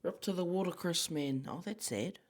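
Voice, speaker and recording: Informal New Zealand man, slightly high voice. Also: animated, normal speed, noisy background. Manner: slangy, informal. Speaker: podcast co-host. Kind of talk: casual podcast chat, reading out viewer comments.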